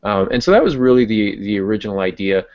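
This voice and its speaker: A man's voice: fairly low pitch, sing-song, fast talker. A man talking casually on a podcast as a host and novelist explaining his work. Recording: dull lo-fi voice recording.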